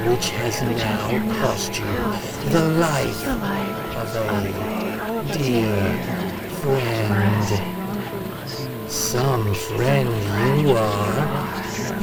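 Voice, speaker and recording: An actor voicing a cruel, mocking monster that speaks as "we" in an audio drama, slow and taunting with scornful laughs. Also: medium pitch, rough recording.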